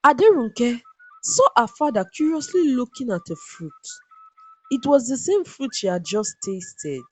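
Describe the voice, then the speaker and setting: A woman narrating a folktale in an expressive, deliberate, dramatic scripted storytelling style. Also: fairly high pitch, husky, poor audio.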